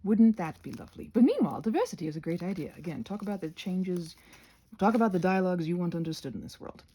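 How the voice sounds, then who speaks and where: A woman voicing a chatty, crafty goblin with a gravelly, husky voice, rambling haltingly through an ASMR craft session. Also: fairly low pitch, very animated, dark tone. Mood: chatty, earnest. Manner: rambling, halting, goes off on tangents.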